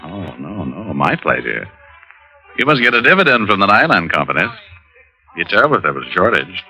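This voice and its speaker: Casting: glib American radio gumshoe, lively low delivery, bright edge, flirty and sardonic. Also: male voice, exaggerated voice, low-quality recording. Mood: wry, flirtatious. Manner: wry, glib, quick with quips. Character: wisecracking, flirtatious male private detective. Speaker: detective and narrator. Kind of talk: 1940s-50s radio noir acting. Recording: old radio broadcast with music.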